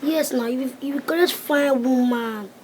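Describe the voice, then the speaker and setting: A cheeky young Nigerian boy who talks back in Pidgin. The voice is set high, and clear background noise runs under the lines. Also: a little slow.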